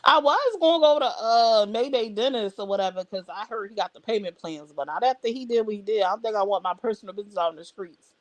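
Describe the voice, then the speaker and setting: A woman's voice: medium pitch, expressive, quick pace, few pauses, dry recording, rough recording. A woman hosting a casual livestream, talking bluntly and straight to her viewers. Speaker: livestream host. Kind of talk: casual live chat.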